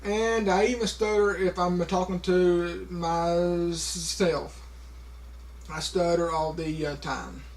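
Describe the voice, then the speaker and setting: A man's voice: sits high, steady tone. A man talking casually to a camera, with a heavy stutter, repeating sounds and stopping on words, with many fillers. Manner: slow, halting, many fillers. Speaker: vlogger.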